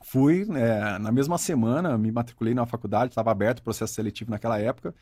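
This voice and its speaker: A man's voice: mid-range voice, quick pace, darkish tone, no echo. Man in his forties, from Brazil, talkative interview guest, reflective, dry close sound. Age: 40s. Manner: talkative, reflective, frequent "né?" tags. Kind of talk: casual interview conversation.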